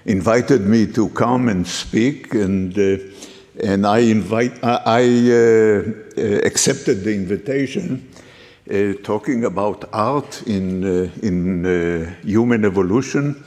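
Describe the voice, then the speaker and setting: A man from the Middle East giving a guest lecture, hesitant and halting, with many fillers and restarts. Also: on the low side, darkish tone. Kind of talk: academic lecture with slides. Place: lecture hall. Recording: reverberant lecture hall.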